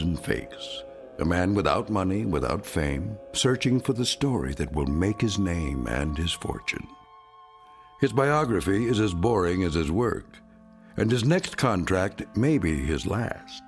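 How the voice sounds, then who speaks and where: An actor playing a grave, ominous storyteller, narrating a radio drama in a deliberate, portentous way. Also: male voice, low voice, full character voice. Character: grave, ominous male storyteller introducing eerie tales. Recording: faint music behind.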